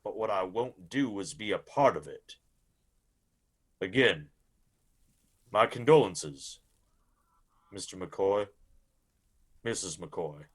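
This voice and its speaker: A man playing a blunt, grimly firm country lawman in a radio drama, with an Appalachian accent and a measured, folksy manner. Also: low voice, lively intonation, husky, heavily acted. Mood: grimly determined.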